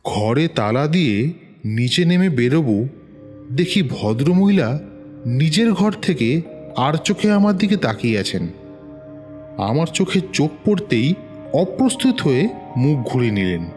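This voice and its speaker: Slow suspenseful male storyteller. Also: a little high, big pitch swings, a little mellow. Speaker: narrator. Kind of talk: first-person horror story narration. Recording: long reverb, music underneath.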